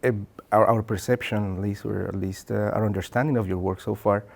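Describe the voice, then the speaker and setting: A man asking an academic interview question in a careful, deliberate way. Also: fairly low pitch, fairly even intonation, gravelly.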